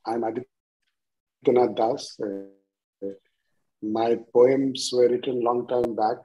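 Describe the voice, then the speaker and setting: A man in his sixties with an Indian accent introducing and reciting his own poems deliberately, in short phrases with pauses. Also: mid-range voice, flat delivery, warm tone, low-quality recording. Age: 60s. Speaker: poet reading own work. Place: indoors at home on a video call. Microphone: video-call device microphone.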